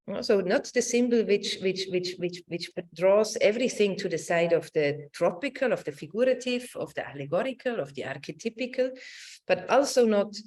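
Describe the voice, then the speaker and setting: A woman's voice: on the low side, darkish tone. A middle-aged woman giving an academic lecture, thoughtful in phrasing and partly reading from notes. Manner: halting, searching delivery with repeated words and tag "no?".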